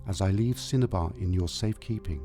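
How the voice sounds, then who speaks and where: A man voicing a frail old dying king in a storybook tale, solemn, gentle and fatherly. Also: deep voice, a little fast, music in the background, lightly acted. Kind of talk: storybook character voice in narrated tale.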